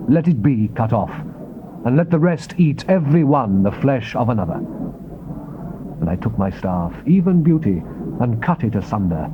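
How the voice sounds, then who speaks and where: A man giving a solemn, theatrical dramatized reading of the King James Bible in a declamatory style. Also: mid-range voice. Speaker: scripture narrator. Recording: noisy old cassette tape, dull muffled sound.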